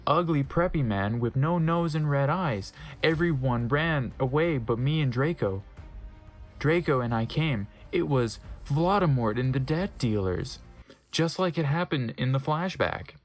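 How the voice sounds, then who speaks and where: Wry man reading a story over music. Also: mid-range voice. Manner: wry, stumbles over misspelled words and comments on them. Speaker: narrator reading fan fiction aloud. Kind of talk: dramatic comedic reading with offhand asides.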